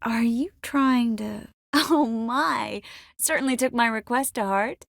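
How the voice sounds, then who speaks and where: An actress playing a confident, witty sorceress in a video game, with an expressive, wry way of speaking. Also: female voice, on the high side, takes it slowly, creaky, no room sound. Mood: wry, teasing. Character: witty, confident adult sorceress, warm but worldly. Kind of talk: dramatic video game dialogue acting.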